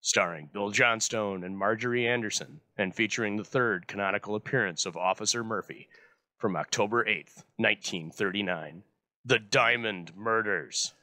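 A man's voice: on the low side, moderate pace, bright, forceful tone. A man giving a formal, scripted introduction as host, in a wordy, wry way. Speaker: host introducing old radio episodes. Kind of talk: scripted narration.

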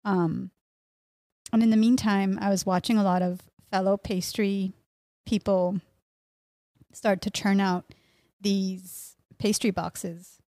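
A woman's voice: mid-range voice, steady tone, slow, warm tone, no echo. A middle-aged woman from Miami, born in Mexico, talking casually as a podcast guest, close on a studio microphone. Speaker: podcast guest, pastry chef and bakery owner. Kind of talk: casual conversational interview. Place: small indoor podcast room. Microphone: close studio mic on boom arm, headphones.

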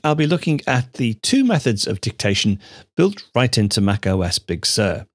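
A man hosting a computer tutorial, explaining steps in a measured, steady way on a studio microphone. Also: mid-range voice, no room sound. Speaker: tutorial host. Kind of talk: screencast software tutorial narration.

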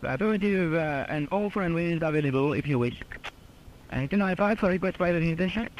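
High-voiced male air traffic controller. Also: low-quality recording. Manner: formal, halting, repeats phrases. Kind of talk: procedural air traffic radio communication. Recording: archival air traffic radio with faint noise.

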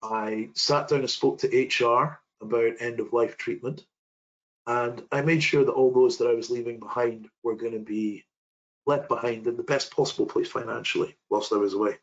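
A man's voice: medium pitch, fairly even intonation, moderate pace, lo-fi sound. A British man in his thirties presenting a webinar from his home office, telling a personal story in an earnest, reflective way with some hesitations. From United Kingdom. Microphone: video call webcam.

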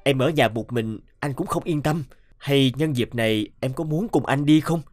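A man's voice: mid-range voice, moderate pace, darkish tone, no room sound, studio quality, close to an everyday voice. An actor playing an earnest, considerate man in a moral cartoon drama. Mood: gently reassuring. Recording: music under the voice.

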